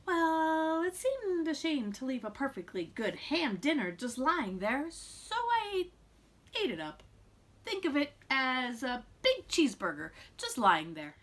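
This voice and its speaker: Female reader for a self-justifying wolf in a children's storybook; bright, high voice, dramatic asides. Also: sing-song, heavily acted. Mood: chatty, self-justifying. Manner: theatrical, drawn-out emphasis, conversational asides. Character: self-justifying male wolf telling his side, folksy and dramatic. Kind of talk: animated storybook read-aloud for children.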